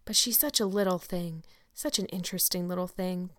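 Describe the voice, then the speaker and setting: A woman's voice: lowish voice, brisk, a bit bright, close to an everyday voice. A mild, hesitant older farmer, as a woman reader puts him on. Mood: pleading. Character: shy, soft-hearted older farmer man. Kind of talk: audiobook character reading.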